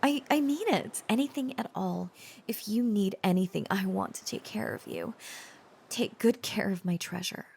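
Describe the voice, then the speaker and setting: A woman playing a tender, protective dragon-blooded girlfriend in an intimate romantic audio roleplay, halting and earnest, often slipping into whispers. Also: mid-range voice, creaky, darkish tone, a little hiss or hum, lightly acted. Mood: tender, adoring. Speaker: voice actor.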